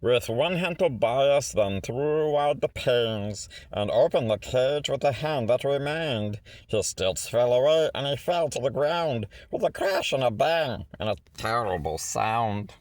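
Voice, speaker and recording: Male storybook reader for rhyming picture book: theatrical, lively pitch swings, measured pace, rhythmic phrasing. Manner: theatrical, rhythmic delivery with stretched words and asides. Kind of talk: expressive read-aloud of a rhyming children's picture book.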